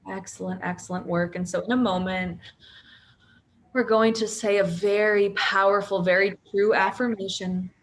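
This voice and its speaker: A woman leading a guided sleep meditation in a slow, soothing voice that often drops close to a whisper. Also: mid-range voice, slight background noise.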